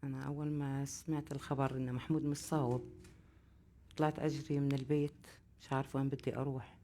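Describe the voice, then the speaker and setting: A woman with a deep, low-pitched voice tells a panel about the loss of her son. She speaks haltingly, full of grief, yet her words tumble out rapidly between the pauses. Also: level tone, dark tone, slight background noise. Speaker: panel guest, bereaved mother. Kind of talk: speaking through an interpreter.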